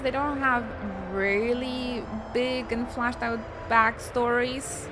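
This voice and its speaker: A young woman with a Polish accent chats at a slow, easygoing pace as she plays a video game on a live stream, thinking aloud to her viewers. Her voice sits a little higher than most women's. Game music plays clearly behind her. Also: poor audio. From Poland. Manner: chatty, musing aloud to viewers while playing. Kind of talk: live-stream gameplay commentary.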